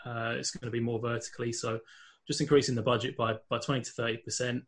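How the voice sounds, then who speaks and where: A man's voice: mid-range voice, little pitch movement, quick pace. A man with a London accent, a guest on a podcast, talking casually with many fillers and restarts. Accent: London English. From England. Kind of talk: casual interview talk.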